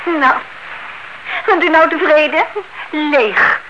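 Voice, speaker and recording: Sensible, exasperated younger woman, radio drama, high voice, brisk and mocking, very noisy old recording. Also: dull audio. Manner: impatient, mocking, brisk and bossy.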